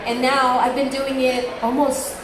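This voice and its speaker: A woman's voice: sits high, flat delivery, unhurried, noisy background. A young woman from the Philippines who co-hosts a convention panel, chatting casually and chiming in agreeably with yes and yeah. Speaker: convention panel co-host, teacher. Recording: echoey room, crowd behind.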